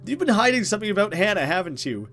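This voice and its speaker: A man's voice: high voice, very animated, fast, fairly bright tone, faint music behind, pitched above the performer's own voice, full character voice. A man voicing a suspicious, distressed schoolgirl in a horror visual novel. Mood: accusing.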